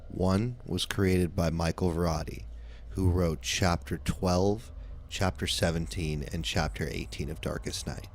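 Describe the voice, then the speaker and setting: A man's voice: low-pitched, a little mellow, a little hiss or hum. A man hosting a podcast, reading out a scripted announcement to listeners. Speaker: show host.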